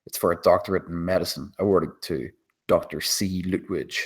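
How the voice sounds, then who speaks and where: A man's voice: deep voice, steady tone, normal speed, breathy edge. A man reading scripted screenplay action as the narrator.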